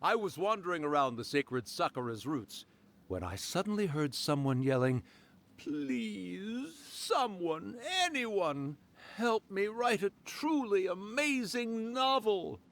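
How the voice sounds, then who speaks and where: A mischievous fox yokai from a fantasy game, boastful and theatrical. His voice sits fairly high and swoops freely, lingering on words to tease. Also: very animated, gravelly, a bit bright, exaggerated voice. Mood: jaunty boasting. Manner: theatrical, drawn-out, teasing. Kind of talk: video game character acting.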